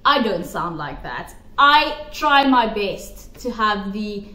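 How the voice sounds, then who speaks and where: A woman's voice: mid-range voice, sing-song, slow pace, pushed and bright. A woman giving emphatic, opinionated commentary to camera, repeating her points for stress. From South Africa. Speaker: pageant commentary vlogger. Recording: roomy echo.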